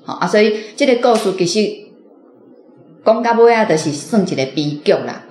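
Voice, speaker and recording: A woman's voice: medium pitch, animated, normal speed, a little mellow, slight background noise. A woman with a Taiwanese accent, a reading club member, giving informal commentary on a story. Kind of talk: informal spoken book commentary. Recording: roomy sound, faint music.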